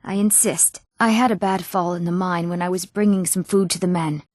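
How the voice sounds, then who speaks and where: A woman's voice: fairly low pitch, steady tone, brisk, a little mellow, no room sound, clean studio sound, close to an everyday voice. Eager young fantasy townswoman, acted by a woman. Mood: polite, grateful. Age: young adult. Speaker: video game voice actor. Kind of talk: scripted fantasy game character dialogue.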